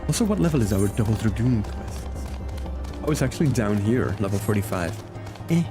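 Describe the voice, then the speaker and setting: A man's voice: lowish voice, lively intonation, fairly quick. Man chatting casually over game music. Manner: chatty, easygoing, reacts aloud to the game and chat. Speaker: game streamer. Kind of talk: casual livestream chat while playing. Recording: music behind the voice.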